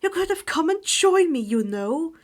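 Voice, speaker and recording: A woman's voice: very high voice, very animated, moderate pace, voice pushed up. A woman voicing a kind, earnest and apologetic teenage boy wizard. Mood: annoyed.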